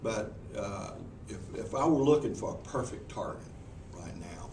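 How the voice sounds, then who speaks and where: A man's voice: fairly low pitch, animated, moderate pace, a little mellow. A man with a Southern US accent, a professional speaker answering interview questions before a group in a meeting room, folksy and earnest with frequent restarts. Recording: echoey room, mic far off, noisy.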